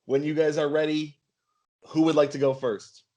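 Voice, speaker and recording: A man's voice: a little high, level tone, normal speed, warm tone. A man hosting a casual podcast game in a playful, mock-announcer way. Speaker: game show host on a podcast.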